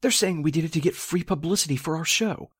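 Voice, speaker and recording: A man playing an earnest, indignant theatrical producer in a 1940s-style radio drama, formal and husky. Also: a little high, fast talker, a bit bright.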